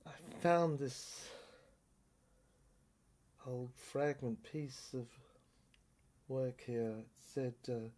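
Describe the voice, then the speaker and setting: A woman performing a dramatic literary monologue in a theatrical, intense and halting way, in broken phrases. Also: rumbling low, dark tone. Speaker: performer reading a serial screenplay.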